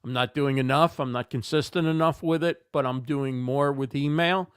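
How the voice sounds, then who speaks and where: A man's voice: mid-range voice, steady tone, normal speed, smooth, no echo, clean studio sound. A man who is slightly losing his voice, speaking as the guest in an online video call interview. He gives thoughtful answers with many fillers and repeated words. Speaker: guest expert on livestreaming.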